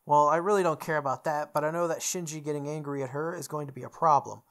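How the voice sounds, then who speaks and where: A woman's voice: deep voice, steady tone, fast talker. A woman reading a visual novel aloud as a gaming host, acting out the dialogue and sometimes stumbling and rereading. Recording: music playing behind the voice. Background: game music.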